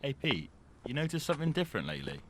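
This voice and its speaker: A man's voice: mid-range voice, big pitch swings, rapid-fire, close to an everyday voice. A young man acting an easygoing, chatty teenage skater boy in a scripted audio drama, with a casual conversational delivery. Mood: gossipy, amused. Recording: clearly noisy amateur recording.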